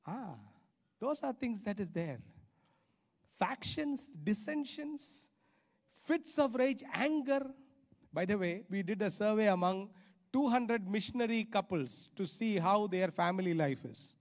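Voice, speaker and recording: Middle-aged man, Indian accent, high-pitched voice, emphatic sermon delivery, repeated phrases, long hall reverb. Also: expressive, a little slow. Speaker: preacher. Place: large church auditorium stage. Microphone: handheld microphone.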